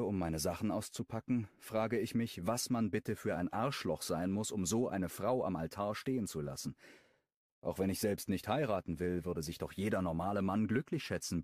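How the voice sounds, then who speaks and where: Low-voiced expressive male narrator. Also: no echo. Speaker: audiobook narrator. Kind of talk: first-person romance audiobook narration. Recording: dry close studio recording.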